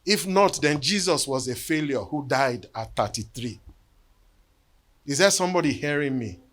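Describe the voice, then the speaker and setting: A man, preaching a sermon and telling a personal testimony in an earnest storytelling way that often sinks to hushed tones. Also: fairly high pitch, lively intonation, moderate pace. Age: 50s. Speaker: bishop.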